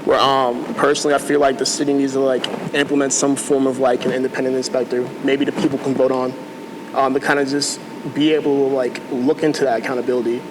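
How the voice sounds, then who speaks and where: A man's voice: medium pitch, little pitch movement, fast. Young male panelist, hesitant filler-heavy delivery, noisy background. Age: young adult. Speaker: first-time protester. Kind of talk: panel discussion.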